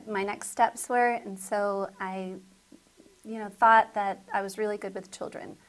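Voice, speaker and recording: A middle-aged American woman from southern Connecticut, a school principal answering interview questions conversationally. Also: medium pitch. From southern Connecticut, United States.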